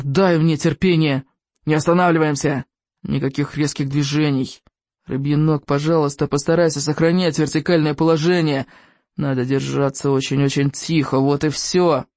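A narrator voicing a loud, gruff male Viking teacher, bossy and exasperated, with drawn-out commands in an audiobook story. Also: mid-range voice, big pitch swings, no room sound. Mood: weary, instructing. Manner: drawn-out commanding shouts and growls.

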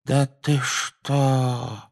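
A man's voice: a little high, expressive, takes it slowly, pitched above the performer's own voice. A slovenly small-time male crook played by a male narrator, drawling and stammering in a raised voice. His talk is colloquial and shifty, a rogue from a storybook. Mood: horrified.

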